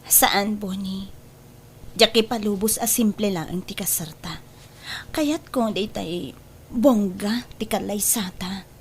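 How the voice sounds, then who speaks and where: A woman's voice: medium pitch, takes it slowly. A woman with an Ilocano accent playing a pleading, stubborn young daughter in love in a drama story, with noise behind her. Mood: insistent. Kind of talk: dramatized Ilocano radio-style story acting.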